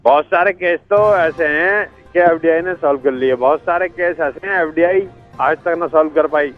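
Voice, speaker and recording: A man's voice: a little high, normal speed. Male politician on a tinny phone line. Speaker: politician, prank call victim. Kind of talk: phone interview. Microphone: telephone. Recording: narrow tinny phone line, music behind.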